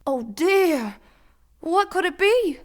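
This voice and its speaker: A woman's voice: very high voice, expressive, slow, heavily acted. A woman voicing a prim, tactless young wife of a reporter in a comedic radio play, speaking in a breezy, prim manner. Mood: prim chatter.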